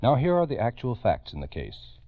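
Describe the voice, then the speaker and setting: A man's voice: medium pitch, huge pitch swings, brisk, no room sound, narrow, tinny audio. A man narrating a 1940s radio crime program in a grave, emphatic, urgent manner on an old recording. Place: radio studio.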